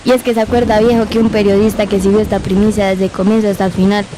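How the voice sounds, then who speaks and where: A woman's voice: mid-range voice, little pitch movement, quick pace, noisy background, natural voice. A woman playing a folksy Colombian grandmother who tells stories colloquially in an amateur radio drama. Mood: reminiscing. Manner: colloquial, storytelling. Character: folksy storytelling Colombian grandmother, rueful, fond of her grandchildren.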